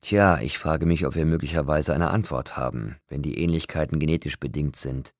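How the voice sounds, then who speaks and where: A man voicing a calm, thoughtful male doctor in a story, measured and musing in a soothing manner. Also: extremely low pitch, dry recording, studio quality.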